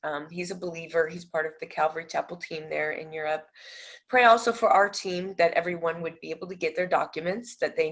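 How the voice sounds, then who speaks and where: A middle-aged ministry director with a slight Tennessee-tinged Southern accent, giving a casual, earnest update and asking listeners to pray. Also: female voice, fairly low pitch. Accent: Tennessee-tinged American Southern. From Nebraska. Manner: earnest, chatty, asks for prayer, self-teasing.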